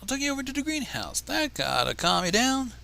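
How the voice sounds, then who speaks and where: A man voicing an upbeat, teasing young male friend in a story, bossy, jokey and energetic. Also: extremely high pitch, a little fast, creaky, pitched above the performer's own voice, exaggerated voice.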